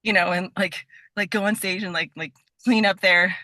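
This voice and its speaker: Female podcast guest, chatty and keen, bright delivery, very dry sound, informal "like"-heavy talk. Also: mid-range voice, steady tone, moderate pace, no room sound. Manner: chatty, enthusiastic, heavy use of "like". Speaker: podcast guest, stage performer and voice actor. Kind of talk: casual interview chat.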